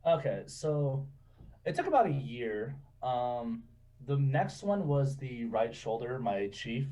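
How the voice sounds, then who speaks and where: A man in his twenties telling stories in a relaxed, chatty way, laughing often and saying "you know" a lot. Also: mid-range voice, takes it slowly, recorded from a distance, poor audio. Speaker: guest. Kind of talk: casual interview storytelling.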